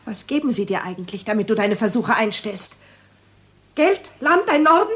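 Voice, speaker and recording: High-voiced, fiery young daughter. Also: female voice, big pitch swings, normal speed, a bit bright. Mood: scornful, furious. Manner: impassioned, accusing. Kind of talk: 1960s German radio play acting.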